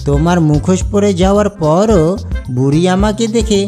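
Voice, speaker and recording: A man voicing a sly young fox in a cartoon, wheedling and flattering his uncle. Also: sits high, moderate pace, faint music behind, lightly acted. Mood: pleading. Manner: wheedling, persuasive. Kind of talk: cartoon character dialogue.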